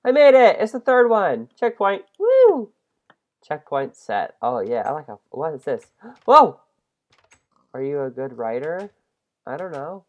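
A young man with a head cold and a congested voice, hosting a casual game let's-play, excitable and self-mocking. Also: on the high side, a little slow, highs cut off. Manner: excitable, self-deprecating, shrieks at mistakes. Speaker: gaming channel host.

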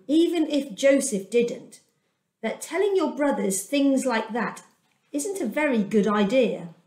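A woman in her fifties reading a children's storybook aloud in a deliberate, expressive way, recorded indoors in a room at home. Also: fairly high pitch. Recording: echoey domestic room.

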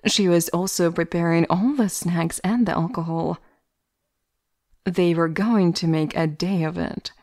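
A woman's voice: fairly low pitch, darkish tone, dry recording, clean studio sound. A woman giving scripted third-person narration for an audio drama.

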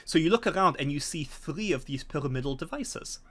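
A man's voice: on the high side, lively intonation, a little fast, a bit bright. Middle-aged man narrating a tabletop game. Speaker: game master. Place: home room, indoors. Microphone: video call.

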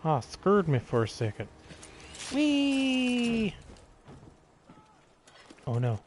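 A man's voice: high-pitched, wildly swooping pitch, extremely slow, a little hiss or hum. A man doing casual live gameplay commentary in a playful, exclaiming way, often talking to himself. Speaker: game streamer.

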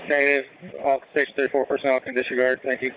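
Male responder on radio, very flat delivery, middle pitch. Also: quick pace, low-quality recording. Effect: two-way radio. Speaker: emergency responder. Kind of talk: radio traffic.

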